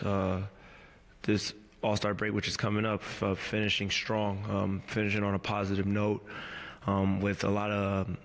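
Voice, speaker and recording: A man in his thirties answering reporters at a postgame press conference, measured and halting, with many fillers and restarts, speaking into a table mic in a press room. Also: on the low side, long reverb tail. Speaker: pro basketball player at postgame press conference. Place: press room before a team backdrop. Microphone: table mic on a stand.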